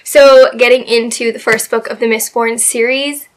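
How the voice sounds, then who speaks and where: A woman with a slightly raspy voice, still getting over a cold, chats about her month's reading. She talks in a bright, somewhat high register, gushing and loose, full of little fillers. It sounds like a relaxed, personal book review. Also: moderate pace. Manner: chatty and gushing, many fillers. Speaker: book video host. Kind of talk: casual book review chat.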